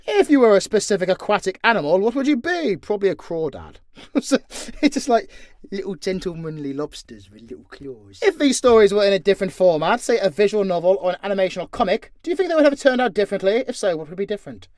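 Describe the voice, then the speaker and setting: A man's voice: high voice, sing-song, fast, darkish tone, dry recording, studio quality. A man chatting casually and off the cuff as an audio creator answering questions, blunt, informal and sweary. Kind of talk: casual, unscripted Q&A chat.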